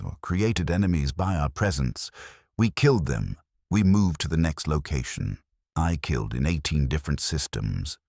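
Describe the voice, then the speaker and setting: A man's voice: a bass voice, dry recording, clean studio sound, full character voice. A man voicing a hollow, faithless warrior nun, telling her grim life as scripted first-person story narration in detached, solemn, clipped sentences.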